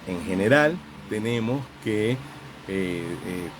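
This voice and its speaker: A man speaking as a counselor and host in an informal live talk, explaining with examples in a halting, reflective, didactic way and often repeating phrases. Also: on the low side, animated, a little hiss or hum.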